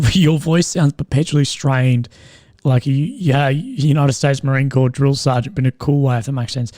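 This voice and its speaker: A young man with a strained, slightly croaky voice, rambling and swearing as he chats with viewers on a live stream. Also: medium pitch, steady tone, normal speed, dark tone, dry recording, clean studio sound. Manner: rambling, swears often, laughs at own jokes. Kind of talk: casual live stream chat.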